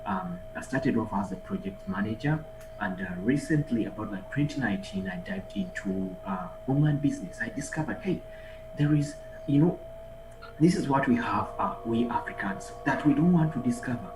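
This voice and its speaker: Lively man in an echoey room. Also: medium pitch, moderate pace. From Uganda. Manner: energetic, exhorts the audience with repeated calls. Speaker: guest speaker, online marketer and trader. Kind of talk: motivational conference presentation. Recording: echoey room with music behind.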